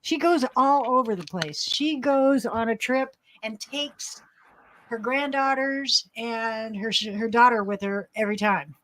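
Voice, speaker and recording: A woman's voice: fairly high pitch, unhurried, a bit bright, slight background noise, rough recording. A middle-aged woman, chatting casually on a live panel. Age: 50s or older.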